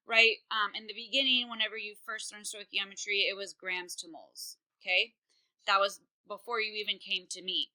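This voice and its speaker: A woman's voice: medium pitch, pushed and bright, rough recording. A woman chemistry teacher giving a recorded online lesson, talking casually with many fillers while working problems on screen.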